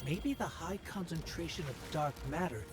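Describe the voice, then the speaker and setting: A thoughtful sci-fi companion played by a man muses with curiosity in a fairly high, lively voice. It is video game dialogue from a puzzled analyst, with loud music behind. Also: moderate pace, lightly acted. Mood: puzzled musing. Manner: musing, inquisitive.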